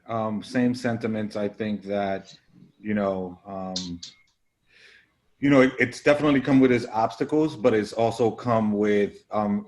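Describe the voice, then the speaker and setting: A man with a very low voice answers a panel question, filling pauses with ums and you-knows as he builds his point. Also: a little mellow. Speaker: panel guest.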